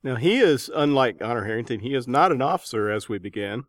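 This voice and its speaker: A man's voice: mid-range voice, expressive, brisk, no room sound. A man hosting a podcast, introducing guests and putting interview questions to them.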